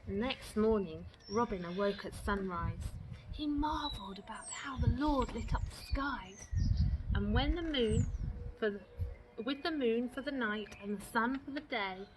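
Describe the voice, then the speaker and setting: A woman with a fairly high voice reads a rhyming picture book for children. Her delivery is expressive and deliberate, shaped for storytime. Clear background noise runs through the recording. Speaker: storytime reader.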